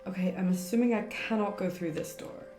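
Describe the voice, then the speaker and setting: A woman's voice: mid-range voice, brisk, warm tone. Chatty woman streamer in an echoey room. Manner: chatty, thinking aloud. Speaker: game streamer. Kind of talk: casual live gameplay commentary. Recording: roomy echo, game music behind. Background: game music.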